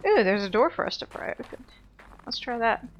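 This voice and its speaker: A woman's voice: on the high side, very animated, brisk, lo-fi sound, a little hiss or hum. A woman hosting a let's play with casual, rambling, self-deprecating game commentary. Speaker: gamer, let's play host.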